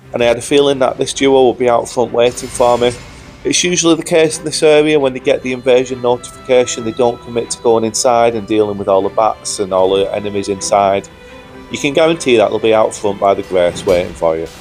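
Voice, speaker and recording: A young man with a cold-roughened voice, giving upbeat, chatty commentary over gameplay footage as a video host. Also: medium pitch, fairly quick, a little mellow, faint music behind. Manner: upbeat, chatty, says "guys" often. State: hoarse from a cold. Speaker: gaming YouTuber.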